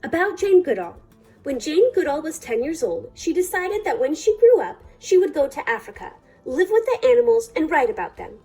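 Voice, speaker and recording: Female storytime reader, high and very melodic, soft-toned, deliberate phrasing, faint music underneath. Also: very animated. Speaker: librarian reading a picture book aloud. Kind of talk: children's storytime read-aloud.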